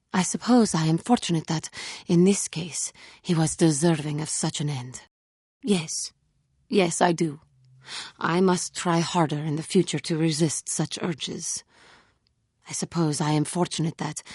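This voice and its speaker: A brooding female alien warrior from a video game, with a low, husky voice recorded close and dry. She speaks in solemn, formal, measured phrases, earnest and full of self-doubt. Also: darkish tone, dry recording, full character voice. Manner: formal, solemn, measured speech without contractions. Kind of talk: video game character acting.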